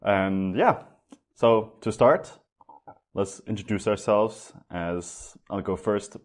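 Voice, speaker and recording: A man's voice: on the low side, slow pace. A young man hosting a podcast, chatting casually and upbeat, with a loose, swearing-now-and-then manner. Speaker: podcast host.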